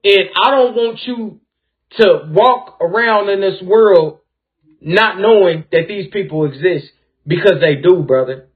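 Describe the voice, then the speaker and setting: A man's voice: high voice, unhurried. A man in his thirties hosting a chat and speaking to his guest in an encouraging, affirming way. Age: 30s. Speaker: host. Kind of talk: supportive casual chat. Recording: tinny phone-like audio with faint music.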